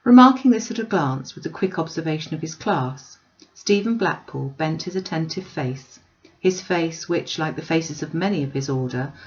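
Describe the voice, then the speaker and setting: A woman reading a classic novel aloud as expressive storybook narration. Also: low voice, normal speed, lo-fi sound. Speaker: audiobook reader.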